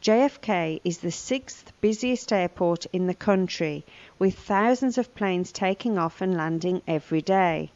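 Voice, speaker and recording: A woman reads scripted lesson material as a presenter. Her voice sits a little low for a woman. The sound is dry, with no room on it, and slightly dull. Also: unhurried, a little mellow, dry recording. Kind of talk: scripted lesson narration, reading a news report.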